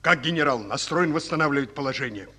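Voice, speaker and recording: A man's voice: medium pitch, lively intonation, fast talker, pushed and bright. An actor playing a wounded Soviet colonel in a radio play, fatherly and commanding, with old-fashioned endearments. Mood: grave, resolute. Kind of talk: Russian radio play acting.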